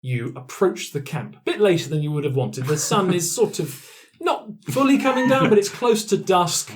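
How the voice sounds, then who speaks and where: A man's voice: high-pitched, animated, moderate pace. A man narrating an improvised tabletop fantasy game as game master, in a playful, hesitant way.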